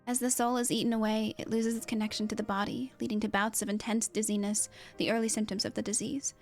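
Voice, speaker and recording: A woman voicing a concerned game character, reading grave dialogue in a measured, serious and sympathetic manner. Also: medium pitch, flat delivery, faint music behind, lightly acted.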